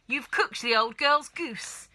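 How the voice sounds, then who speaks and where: A woman's voice: high-pitched, slow, bright, forceful tone, slight background noise, pitched above the performer's own voice, exaggerated voice. A woman reading a children's storybook, voicing a panicky wife and mother who cries out and wails. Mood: alarmed scolding. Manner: crying out, wailing, shrieking. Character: frantic wailing wife and mother, easily panicked.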